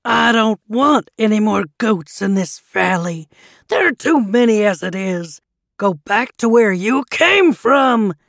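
A woman voicing an enormous angry male troll who shouts gruff, forceful commands in a children's story. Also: a little high, very slow, few pauses, no echo, studio quality, full character voice. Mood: angry, menacing.

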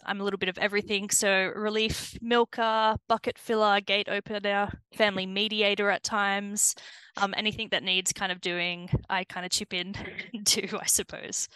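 Chatty aussie woman on a podcast, bright tense voice, dry close mic. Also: mid-range voice, level tone, normal speed, clear, resonant tone, dry recording, poor audio. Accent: Australian. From New South Wales, Australia. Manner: chatty, laughs often. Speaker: podcast guest. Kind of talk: casual interview chat.

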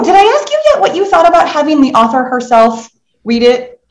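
A middle-aged woman hosting a book club as a coach, chatting casually in an animated, encouraging and affirming way. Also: mid-range voice. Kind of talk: casual chat in a live online book club. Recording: roomy, echoing sound.

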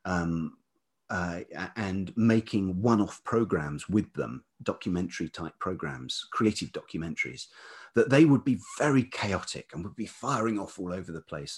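Deep husky man telling stories. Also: darkish tone. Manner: halting, many ums and restarts. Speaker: podcast guest, writer and producer. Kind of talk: relaxed storytelling interview.

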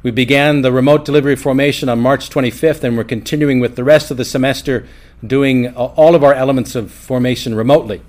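A man's voice: mid-range voice, steady tone, fast, darkish tone. A man hosts a conversational podcast interview as a seminary rector.

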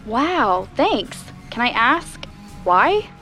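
A woman's voice: fairly high pitch, sing-song, extremely slow, sharp tone, soft background music, full character voice. Curious woman podcast investigator. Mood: eager, curious. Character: curious, eager female radio host investigating mysteries. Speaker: podcast host and narrator. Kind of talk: audio drama dialogue and first-person narration.

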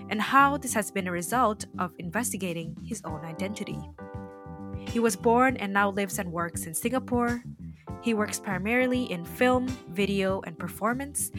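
A woman from Indonesia hosting a podcast, reading a measured, reflective introduction and then chatting casually with a guest. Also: medium pitch. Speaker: podcast host.

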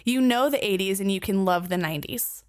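A woman's voice: mid-range voice, a little fast. Playful woman in her late twenties. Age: late 20s. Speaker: podcast co-host. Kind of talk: casual chat.

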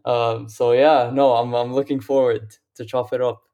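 A man from Lebanon joins a casual football podcast over a call. He talks in a hesitant way, with frequent ums and restarted phrases, at an ordinary middle pitch. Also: level tone, moderate pace, dark tone, highs cut off. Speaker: guest. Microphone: remote call.

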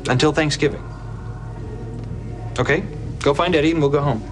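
A man's voice: on the high side, fairly even intonation, normal speed, over music, natural voice. A man playing an earnest middle-aged professor dad in a TV sitcom, firm but awkward. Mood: stern, disappointed. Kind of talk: sitcom acting.

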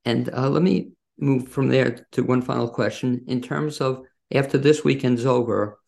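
Very creaky-voiced man hosting a casual interview. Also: mid-range voice, normal speed, a little mellow. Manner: rambling, circling toward his questions. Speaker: interview host.

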